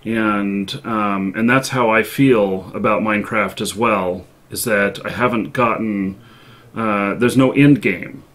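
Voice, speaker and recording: A man hosting a gaming livestream, chatting casually in a halting, hesitant way. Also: lowish voice, a bit bright. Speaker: livestream show host.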